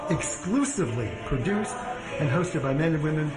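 A man's voice: fairly high pitch, animated, moderate pace. A man giving a conversational introduction of a speaker at an event, stumbling through fillers and restarts. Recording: echoey room with loud music.

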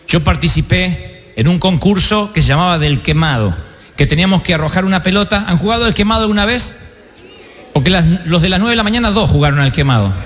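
A man with an Argentine accent, a pastor preaching a sermon with self-mocking stories and slow, emphatic stresses on key words. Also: sits high, fairly bright tone, big-room sound. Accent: Argentine Spanish. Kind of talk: sermon with humorous anecdotes.

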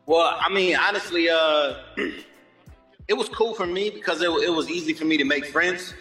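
A man talking as a guest singer in a relaxed interview, laid-back and repeating "you know what I'm saying". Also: fairly high pitch, normal speed, echoey room, big-room sound, faint music behind. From Germany. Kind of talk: casual interview chat.

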